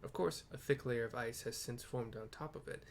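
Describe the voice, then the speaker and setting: A man's voice: mid-range voice, normal speed, gravelly, a little hiss or hum, natural voice. A man voicing a grave, proud dragon-slaying knight in a fantasy game, with solemn, formal, old-fashioned phrasing.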